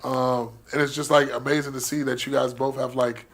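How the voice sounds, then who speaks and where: A man's voice: medium pitch, flat delivery, a little fast. A man, hosting a radio show in casual, joking, slangy chat.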